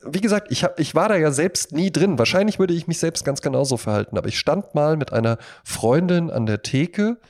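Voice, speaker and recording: A man's voice: a little high, animated, a little fast, hardly any pauses, a little mellow. Casually chatting man, rambling storyteller. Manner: rambling, anecdotal, acts out little imagined quotes. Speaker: podcast co-host. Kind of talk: casual conversational podcast chat.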